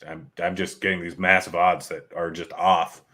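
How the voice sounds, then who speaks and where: A man hosting a live sports betting stream, talking through player props and odds in a casual, halting way. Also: sits low, flat delivery, bright, forceful tone. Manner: rattles off betting odds and stats, halting restarts. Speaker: sports betting show host.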